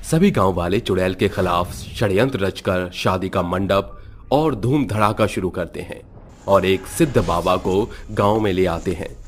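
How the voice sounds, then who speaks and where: A man's voice: low voice, unhurried, slight background noise. A man narrating a cartoon horror story in a dramatic storytelling manner.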